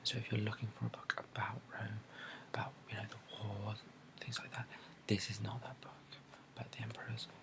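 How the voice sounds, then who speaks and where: A soft-spoken British man in a breathy ASMR bookshop roleplay, describing books in a hesitant, rambling way and repeating his phrases. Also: lowish voice, rough recording. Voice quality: breathy, soft, often dropping to a whisper. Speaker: ASMR creator playing a bookshop assistant.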